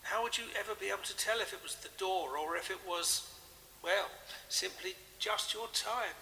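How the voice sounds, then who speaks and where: A genial male country vicar in an audio drama. His English-accented voice is high and very bright with some creak, and he talks in a chummy, genteel way that brushes things aside. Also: moderate pace, slight background noise, heavily acted. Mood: musing, sceptical. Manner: genteel, chummy, dismissive of superstition. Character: genial, chatty, self-consciously hip English country vicar, man.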